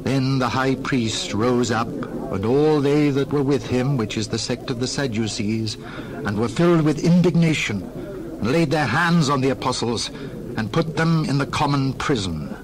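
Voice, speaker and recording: A man narrating dramatized scripture in a formal, expressive storytelling manner. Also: medium pitch, moderate pace, a crowd in the background, poor audio.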